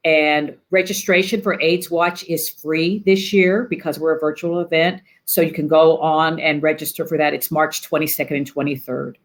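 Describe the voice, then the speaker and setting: Low-voiced woman, nonprofit panel guest. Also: steady tone, normal speed, few pauses. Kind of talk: conversational organization plug.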